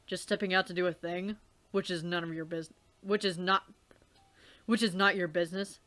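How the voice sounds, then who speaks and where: A woman voicing a laid-back teenage boy who talks in a deadpan, unbothered way. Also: lowish voice, normal speed, pitched below the performer's own voice, close to an everyday voice.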